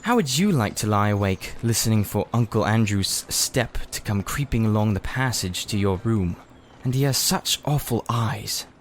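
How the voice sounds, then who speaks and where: A man's voice: on the low side, expressive, a little hiss or hum, natural voice. A man voicing a miserable, blunt young boy in a storybook, blurting out his words in a rush. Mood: wary. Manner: breathless, rushed, blurting complaints.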